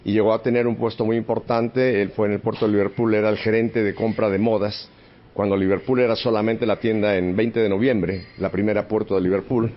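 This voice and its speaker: A man in his seventies from Mexico City, a podcast guest telling stories about his childhood in a relaxed, chatty way with easy laughter. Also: lowish voice, a little fast. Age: 70s. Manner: chatty, warm reminiscing with frequent laughs. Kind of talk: relaxed storytelling in conversation. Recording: slightly muffled podcast audio.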